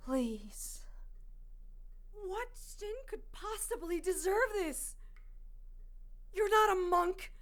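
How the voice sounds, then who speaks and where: Distressed young woman, very high voice, drawn-out panicked delivery. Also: pushed and bright, exaggerated voice. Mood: terrified. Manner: panicked, pleading.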